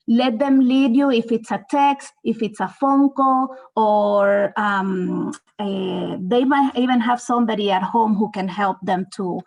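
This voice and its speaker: A woman's voice: mid-range voice, poor audio. A woman from El Salvador with a Salvadoran Spanish accent, speaking earnestly and encouragingly on an online panel, with many fillers and restarts. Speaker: multilingual services staff.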